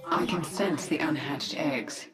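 A woman's voice: low voice, a little slow, echoey room, a crowd in the background, poor audio. An actress playing a determined, commanding queen of an alien swarm in a video game, speaking with controlled resolve. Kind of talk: video game cutscene acting.

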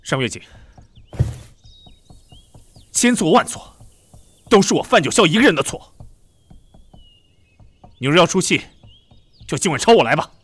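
An actor playing a proud, emotional prince regent of imperial China in an audio drama, dramatic and impassioned. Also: male voice, on the high side, very animated, moderate pace, long pauses, fairly bright tone, slightly rough, full character voice. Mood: urgent, pleading. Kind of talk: Chinese historical audio drama acting. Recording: background music under the voice.

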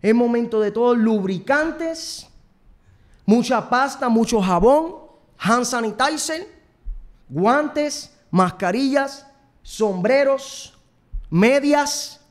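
A man's voice: extremely high pitch, expressive, slow pace. A young man with a Puerto Rican accent hosting a show in a rambling, repetitive, self-promoting comic monologue, close on a studio microphone. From Puerto Rico. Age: young adult. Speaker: show host and singer. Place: studio set, indoors. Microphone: close desk microphone with headphones.

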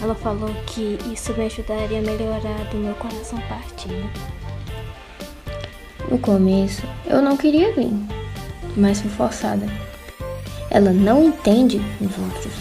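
A woman with a Brazilian accent voicing a sly, deadpan teenage girl in a dramatic manga fan dub. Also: mid-range voice, unhurried, vocal fry, pauses often, music in the background. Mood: sulky.

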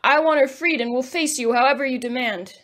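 A woman's voice: sits high, fairly quick, a bit bright. A woman playing a fairy mimicking an accusing fifteen-year-old, earnest and declamatory in an audio drama. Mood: accusing, defiant.

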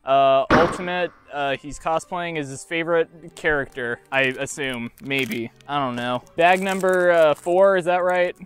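A young man rambling through a toy unboxing outdoors by a field, joking deadpan and halting with lots of fillers. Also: a little high. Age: young adult. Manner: rambling, self-mocking, halting with many fillers. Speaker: YouTuber unboxing toys. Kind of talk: jokey deadpan unboxing vlog. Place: outdoors by a field under trees. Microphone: lapel mic.

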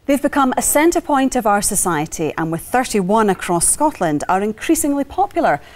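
A woman's voice: on the high side, very animated, normal speed. Middle-aged woman presenting the evening news. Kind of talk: scripted news presenting and interviewing. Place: television studio. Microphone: lapel mic.

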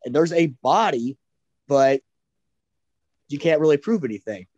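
A man in his thirties chatting casually on a video call headset, talking quickly and repeating words as he goes. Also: a little high, expressive, a little mellow, dry recording, muffled recording. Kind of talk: casual chat.

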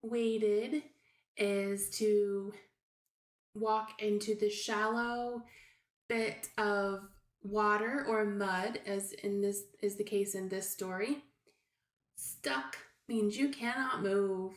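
A middle-aged woman teaching young children as a preschool teacher, explaining things simply with hesitant pauses. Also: medium pitch, fairly even intonation. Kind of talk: read-aloud lesson for young children. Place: small room at home, indoors. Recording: roomy, echoey home recording.

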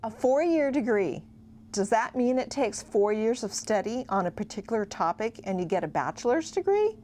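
A woman's voice: on the high side, moderate pace. A woman giving scripted explanatory narration as a college advisor. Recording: faint steady hum and noise.

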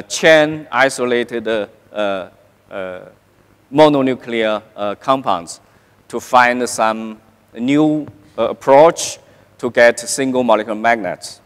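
A middle-aged man lectures from a large conference stage, his words coming out very slowly with constant "uh" fillers and restarts. His voice sits at a middling pitch, and a long hall reverb trails behind it. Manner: halting, many "uh" fillers and restarts. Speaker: keynote lecturer.

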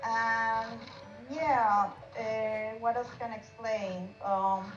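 A woman who is not a native English speaker, giving a casual, halting, slightly apologetic explanation as a game artist. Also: a little high, steady tone. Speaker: game artist presenting team project. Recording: roomy, dull online call with music.

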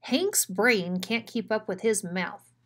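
A woman's voice: medium pitch, slow, a bit bright. A big ugly junkyard Rottweiler from a children's storybook, acted out by a woman reader. He is a blunt bully who speaks with dramatic emphasis. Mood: menacing. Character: big ugly bullying male Rottweiler, gruff, then self-pitying.

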